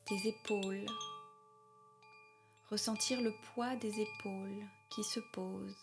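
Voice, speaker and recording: A woman guiding a yoga nidra meditation in a soft, breathy, calm and soothing voice, with long pauses and repeated phrasing. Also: medium pitch, over music. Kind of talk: guided meditation, spoken slowly.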